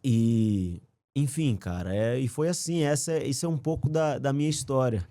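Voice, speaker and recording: A man's voice: mid-range voice, moderate pace, dry recording. Chatty man. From Rio de Janeiro, raised in Brasília. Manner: relaxed, slangy, chatty and earnest. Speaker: podcast guest. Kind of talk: casual conversational storytelling.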